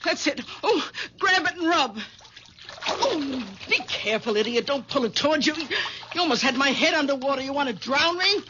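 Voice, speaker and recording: A woman's voice: high voice, animated, normal speed. An actress in a 1970s radio drama playing a domineering wife, imperious and scolding as she bosses her husband. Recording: dull lo-fi radio recording, loud splashing noise.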